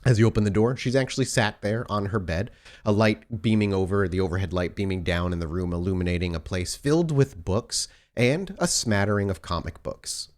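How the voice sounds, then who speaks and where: A man's voice: fairly low pitch, fairly quick. A man improvising narration as the game master of a tabletop role-playing game.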